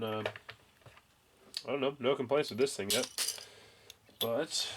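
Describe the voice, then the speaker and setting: Young man, mid-pitch, congested from a cold; easygoing, rambling garage tutorial with lots of ums and restarts, faint noise behind. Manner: rambling, many fillers and restarts. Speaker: home reloading hobbyist. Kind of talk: casual workbench tutorial. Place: garage workbench, indoors.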